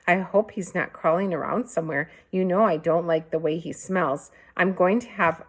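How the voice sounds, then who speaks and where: A woman's voice: on the low side, moderate pace, close to an everyday voice. A fussing mother from a children's storybook, acted by a woman reader. She sounds disbelieving as she scolds and coaxes her young sons. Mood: distracted. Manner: scolding and coaxing.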